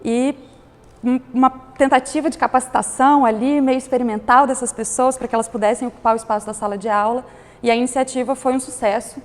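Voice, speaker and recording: A woman's voice: a little high, fairly even intonation, fast, darkish tone. A woman, explaining her organization's work as a guest on a panel talk. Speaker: guest, director of a cultural organization. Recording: hall reverb.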